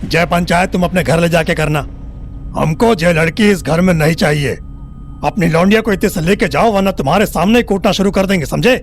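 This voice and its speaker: Gravelly, bullying middle-aged patriarch played by a man. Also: sits high, brisk, a little hiss or hum. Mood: furious, contemptuous. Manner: bullying, insulting, threatening. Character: abusive, foul-mouthed, furious family patriarch. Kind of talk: Hindi audio drama acting. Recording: music bed under the voice.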